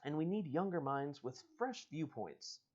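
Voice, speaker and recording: A man's voice: a little high, animated, a little slow, a little mellow, dull audio. A man voicing a courteous, evasive spokesman of a secretive organization in a storybook, speaking formally and politely. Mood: smooth recruiting pitch. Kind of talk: storybook character reading.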